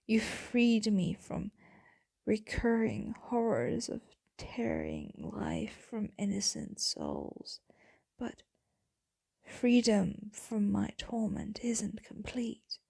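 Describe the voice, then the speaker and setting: A woman playing a tender, tormented female vampire lover in an intimate, brooding ASMR roleplay monologue, in a breathy, husky whisper. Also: medium pitch, huge pitch swings, no room sound, studio quality, exaggerated voice. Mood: tender, grateful, guilty. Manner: slow, brooding, hushed delivery.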